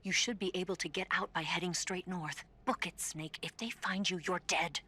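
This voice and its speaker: An actress playing a cool female spy in a video game, speaking in a terse, secretive, often hushed way. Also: fairly low pitch, fairly even intonation, normal speed, a little hiss or hum. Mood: grave, urgent. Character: cool, urgent female spy guiding an escaping prisoner. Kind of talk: dramatic video game voice acting. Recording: music behind the voice.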